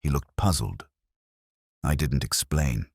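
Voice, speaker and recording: A man with an extremely low voice narrates a detective story in the first person. His delivery is measured, solemn and literary, with lively rises and falls in pitch. The sound is dry and close, with very little room on it. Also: vocal fry, breathy edge, no room sound. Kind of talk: scripted first-person audio drama narration.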